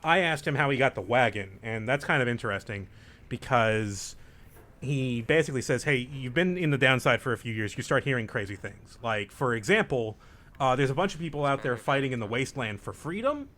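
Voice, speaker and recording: A man's voice: mid-range voice, animated, brisk, fairly bright tone. A young man hosting a group let's play, casually recapping the story and explaining game mechanics with jokes. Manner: laid-back, joking, explains game mechanics. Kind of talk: casual group gameplay chat. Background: game music.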